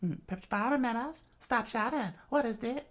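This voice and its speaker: A woman acting an easygoing, high-voiced foster mother. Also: moderate pace, lightly acted. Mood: protective.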